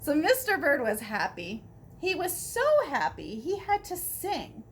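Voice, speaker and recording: A woman narrating a children's picture book aloud in an expressive storytelling style. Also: high voice, measured pace, slight background noise. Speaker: storybook reader.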